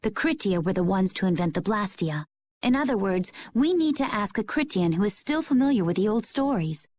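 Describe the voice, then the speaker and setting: An actress playing a composed young woman adventurer in a video game, with measured, polished and subtly teasing delivery. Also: mid-range voice, animated, a little mellow, no echo, natural voice. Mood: calm. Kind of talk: video game cutscene acting.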